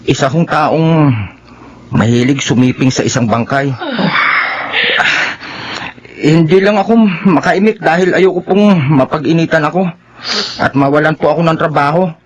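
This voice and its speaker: A man with a Filipino accent playing a respectful employee grimly explaining his boss's misdeeds in a halting way, in a radio horror drama. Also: a little high, highs cut off, a little hiss or hum, close to an everyday voice. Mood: grave, confiding. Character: deferential male employee grimly confessing his boss's crimes.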